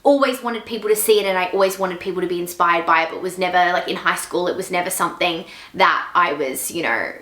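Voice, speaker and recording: A woman's voice: lowish voice, fast talker, few pauses, bright, forceful tone, reverberant. A woman, chatting casually to camera in a Q&A vlog, full of "like" and "um". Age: 20s. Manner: chatty, many fillers like "like" and "um". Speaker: fitness content creator.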